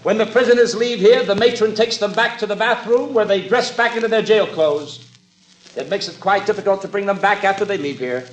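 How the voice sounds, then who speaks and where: Casting: man as procedural police sergeant for vintage radio crime show, higher male voice, reverberant room. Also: a little fast, a little hiss or hum, lightly acted. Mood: official. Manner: official, procedural, matter-of-fact. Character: brisk, businesslike male police sergeant running lineups. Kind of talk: 1950s radio police drama acting. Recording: echoey vintage radio stage sound.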